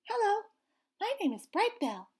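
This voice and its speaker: A woman doing a squeaky little boy gosling. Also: big pitch swings, a little slow, full character voice. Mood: cheerful. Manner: polite, earnest, squeaky. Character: small polite young male gosling.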